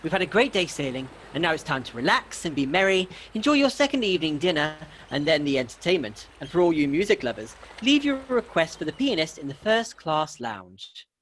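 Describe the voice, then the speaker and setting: Man acting a lively cruise announcer. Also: a little high, brisk, few pauses, slight background noise. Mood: brisk, welcoming. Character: courteous, brisk cruise ship announcer. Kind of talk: announcement in a radio play.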